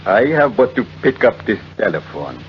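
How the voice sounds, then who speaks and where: An actor playing a smug, shabby-genteel blackmailer of about fifty in old radio drama, speaking with formal, unhurried mock courtesy. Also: male voice, lowish voice, sing-song. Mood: smug menace. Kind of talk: 1940s radio acting. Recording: dull, noisy old radio recording.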